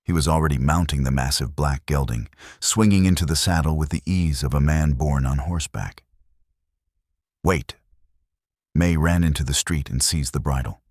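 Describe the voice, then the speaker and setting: A man with an extremely low voice narrates a frontier tale. His delivery is solemn and suspenseful, with deliberate dramatic phrasing, and the sound is close and dry. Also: dry recording. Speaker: storyteller, narrator. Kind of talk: dramatic scripted western story narration.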